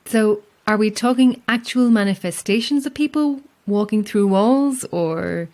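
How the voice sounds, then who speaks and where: An actress playing a guarded, sceptical lighthouse keeper in a horror audio drama, speaking in a measured, dry way. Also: female voice, medium pitch, a little mellow, natural voice. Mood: wry, curious. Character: guarded, sceptical lone lighthouse keeper, woman, wryly amused.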